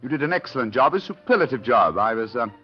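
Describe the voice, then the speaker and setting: A muffled vintage radio drama recording with music. a shrewd British gentleman sleuth, courteous and theatrical. His delivery swings widely in pitch and sounds grandly amused. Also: big pitch swings, fast, husky. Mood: amused, triumphant. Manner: suave, amused, grandly formal. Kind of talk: old-time radio mystery acting.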